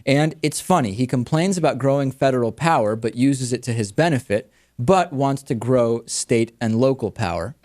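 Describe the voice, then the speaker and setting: A man's voice: mid-range voice, normal speed, darkish tone. An American man hosting a talk show, giving conversational commentary and reading out audience mail.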